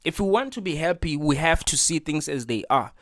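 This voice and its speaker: A man's voice: a little high, lively intonation, a little fast, no room sound, studio quality. A man hosting a reaction video, talking in an earnest, preachy way and repeating his points with lots of filler words. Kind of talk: casual opinion monologue.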